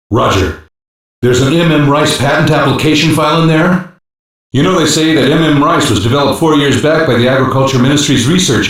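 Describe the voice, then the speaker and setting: A man's voice: mid-range voice, natural voice. An actor playing a male intelligence hacker on a cyber-police team, giving matter-of-fact, clipped technical briefings as scripted video game lines. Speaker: voice actor in an English dub. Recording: very echoey, distant-sounding game audio capture.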